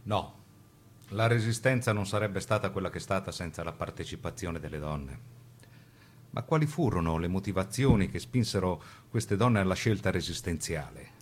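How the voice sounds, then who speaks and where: A man with a lowish voice narrates a scripted radio drama-documentary. His delivery is formal. He phrases each clause deliberately, as a narrator reading prepared text. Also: animated, slightly rough.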